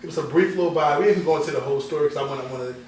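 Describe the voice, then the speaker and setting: A man hosts a casual interview, rattling off questions at speed and cracking slangy jokes. His voice is fairly high and rings through a very echoey room, heard from a distance over a faint hum. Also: racing, darkish tone. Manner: joking, playful, slangy. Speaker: interview host.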